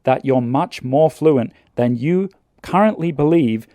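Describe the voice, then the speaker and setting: A man calmly narrating scripted language-learning advice in a measured, earnest way. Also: fairly high pitch, no echo, clean studio sound.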